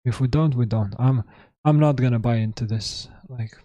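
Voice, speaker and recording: A man with a sore, hoarse throat hosting a live trading stream, thinking aloud in halting, self-correcting commentary. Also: medium pitch, dark tone, dry recording. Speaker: trading livestream host.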